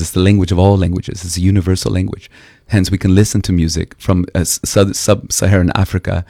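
A man's voice: low-pitched, vocal fry, dark tone, dry recording, clean studio sound. A man from Brazil who grew up with Portuguese, Spanish and English, speaking earnestly and reflectively as an interview guest. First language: Portuguese and Spanish alongside English. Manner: earnest, philosophical. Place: radio studio.

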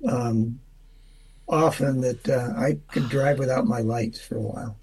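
A man giving a casual weather forecast on the radio, relaxed and unhurried, with many filler sounds. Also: mid-range voice, breathy edge, low-quality recording. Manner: relaxed, drawn out with lots of uhs. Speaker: weather forecaster and humor guest.

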